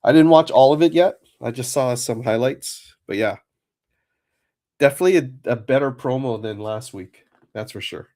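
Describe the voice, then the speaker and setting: A man hosting a livestream card break, chatting casually, a little hoarse and nasal from a cold. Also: mid-range voice, normal speed. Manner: relaxed, chatty, many fillers.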